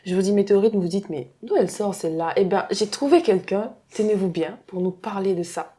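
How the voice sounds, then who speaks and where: A woman's voice: mid-range voice, fairly quick, warm tone, slightly rough. A woman hosting a studio interview, chatty and playful, opening with a teasing intro before asking questions. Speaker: interview host. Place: studio set.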